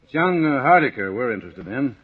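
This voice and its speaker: An actor playing a calm, shrewd police chief inspector who talks unhurriedly and hesitantly in a 1940s radio drama. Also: male voice, mid-range voice, brisk, slightly rough. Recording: muffled lo-fi old radio.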